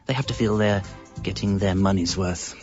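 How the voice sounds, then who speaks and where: Dry, low-voiced slacker narrator played by a man. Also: steady tone, moderate pace, soft background music, lightly acted. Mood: wry narration. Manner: dry, self-justifying. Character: lazy, evasive slacker jingle composer telling his story. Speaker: narrator and lead character. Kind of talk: scripted comedic first-person narration, radio comedy. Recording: slightly muffled radio recording.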